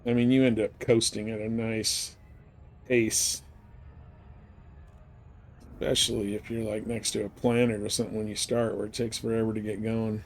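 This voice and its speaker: A man's voice: fairly low pitch, flat delivery, moderate pace, pauses often. A man giving casual live commentary as he streams a game, with some background noise.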